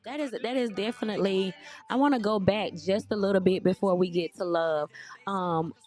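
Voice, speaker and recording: A woman's voice: medium pitch, normal speed, faint music behind. A woman hosting an interview, asking questions in a supportive, casual way. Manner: warm, supportive, casual slang. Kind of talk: empathetic interview.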